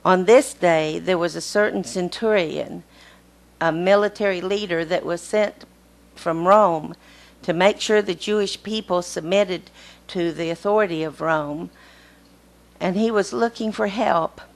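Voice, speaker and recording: A woman lay speaker delivering a sermon at a pulpit microphone in a small church, in a steady storytelling manner. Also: lowish voice, a little slow, vocal fry.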